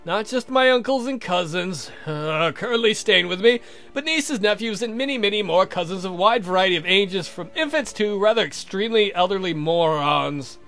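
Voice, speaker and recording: A man's voice: high-pitched, animated, moderate pace, fairly bright tone, faint music behind. A man voicing a courtly, lovestruck vampire count from a visual novel game, speaking formally and earnestly. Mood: earnest confessing. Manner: formal, verbose, confessing.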